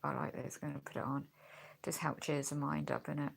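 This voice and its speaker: Low gravelly congested woman. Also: steady tone, brisk. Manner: chatty, rambling, with sighs and tag questions. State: congested and losing her voice. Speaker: vlogger. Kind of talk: rambling casual vlog. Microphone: phone held by a friend.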